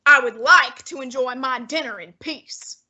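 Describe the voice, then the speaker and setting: A grandly self-assured detective lays out his reasoning in crisp, measured phrases, voiced by a woman. The voice sits high and bright with a breathy edge. It is an old-time radio mystery done by student actors. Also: exaggerated voice. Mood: wry. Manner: precise, lofty, measured diction. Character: portly, pompous male genius detective, unflappable.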